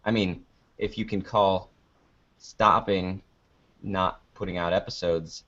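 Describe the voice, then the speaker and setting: Low-voiced young American man, dull recording. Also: fairly even intonation, slow, fairly bright tone. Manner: joking, playfully melodramatic. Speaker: podcaster being interviewed. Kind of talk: casual interview chat. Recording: dull lo-fi call-like audio.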